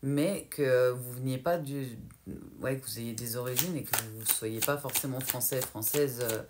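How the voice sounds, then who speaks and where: A woman's voice: rumbling low, measured pace, background noise. A woman from France giving a casual, rambling tarot guidance reading and hesitating often as she relays what her guides show her. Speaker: tarot card reader.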